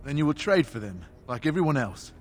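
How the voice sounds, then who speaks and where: Suspicious shopkeeper, curt, guarded, lively intonation, rumbly noisy game audio. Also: male voice, fairly quick. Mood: indignant. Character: wary, suspicious village shopkeeper man. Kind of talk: video game dialogue acting.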